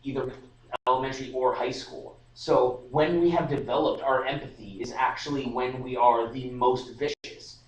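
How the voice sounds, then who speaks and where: A man's voice: mid-range voice, fairly even intonation, normal speed, slight background noise. A young man talks loosely, restarting his sentences and leaning on fillers. His voice sits in the middle range and comes from some distance off the mic. The room gives it a hollow echo. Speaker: podcast host. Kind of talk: casual discussion. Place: room at a student society meeting. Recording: roomy live stream audio, distant mic.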